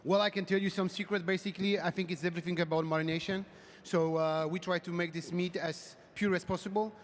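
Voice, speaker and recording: Male coach, friendly answers, high voice, reverberant hall. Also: fairly even intonation, fast. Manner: friendly, non-native phrasing. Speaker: competition team coach. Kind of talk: interview answers. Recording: long echo of a big hall.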